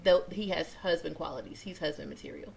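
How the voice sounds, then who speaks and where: A woman's voice: fairly low pitch, brisk, gravelly, darkish tone, a little hiss or hum. A woman hosting a casual group discussion in a chatty, informal way, often rephrasing her questions. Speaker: host of a panel talk show.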